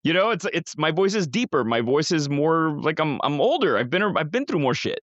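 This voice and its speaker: A man's voice: fairly high pitch, sing-song, fairly quick, fairly bright tone, no echo, muffled recording. A middle-aged man co-hosting a podcast, chatting casually, rambling with restarts and casual swearing. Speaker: podcast co-host. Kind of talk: casual chat.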